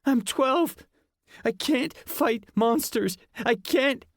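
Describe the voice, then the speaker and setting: A man voicing a panicky twelve-year-old boy wizard with a squeaky, whiny voice in an audiobook. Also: dry recording, studio quality, exaggerated voice. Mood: panicked. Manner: rapid, whiny pleading.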